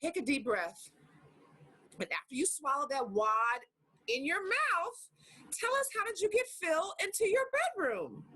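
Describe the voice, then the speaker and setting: A woman's voice: high-pitched, sing-song, unhurried, bright, forceful tone, full character voice. A woman playing a blunt, sarcastic, exasperated goddess in a comedy table reading, incredulous and confrontational. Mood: demanding.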